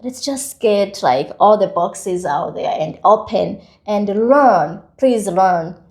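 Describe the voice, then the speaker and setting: A woman's voice: medium pitch, animated, takes it slowly, darkish tone. A woman hosting a podcast in a casual, conversational way, upbeat and welcoming to her guest. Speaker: podcast host.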